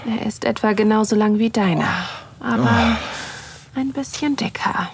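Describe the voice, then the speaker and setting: An actress playing a nervous, curious wife who narrates an intimate erotic audio drama in a soft, often whispering voice. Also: female voice, a little high, a little slow, creaky, slightly rough, full character voice. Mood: aroused, absorbed.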